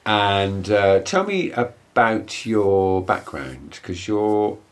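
A man's voice: fairly low pitch, lively intonation, extremely slow. A man interviewing a guest in a casual conversation, often hesitant and searching for words. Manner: hesitant, with fillers and restarts.

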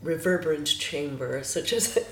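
A woman's voice: deep voice, lively intonation, moderate pace, slight background noise. Woman in an echoey room. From Los Angeles, USA. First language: Hungarian. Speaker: guest musician. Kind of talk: casual interview chat.

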